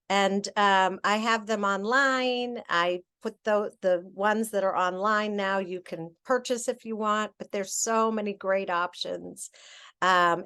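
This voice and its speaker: A woman's voice: medium pitch, fairly bright tone. A middle-aged woman giving a training presentation over slides, chatty, with many fillers and self-corrections.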